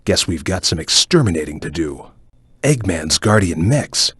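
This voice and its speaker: A man playing a calm, serious ninja chameleon in a video game, speaking in a measured, stoic and focused way with a low, husky voice. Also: dry recording, clean studio sound, heavily acted. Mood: serious, watchful. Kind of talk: video game voice lines, hints and tutorial instructions.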